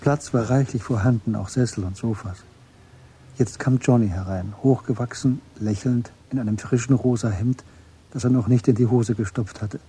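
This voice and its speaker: A man's voice: mid-range voice, fairly even intonation, normal speed, mellow, husky. A man reading scripted literary narration as the narrator of a German radio drama.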